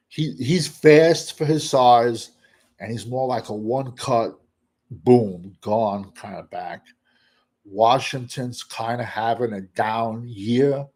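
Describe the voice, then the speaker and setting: A man hosting casual football draft talk, blunt and rambling, with what he calls a monotone voice. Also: on the low side, slightly rough. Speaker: draft analyst host. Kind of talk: casual draft commentary to camera.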